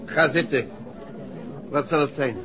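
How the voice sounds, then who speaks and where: An actor playing a brusque, proud, suspicious husband, speaking curtly in a 1960s radio drama. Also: male voice, on the high side, unhurried, a crowd in the background, close to an everyday voice. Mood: brisk. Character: brusque, proud male husband suspecting his wife. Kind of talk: 1960s radio play acting. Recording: dull old radio recording with music.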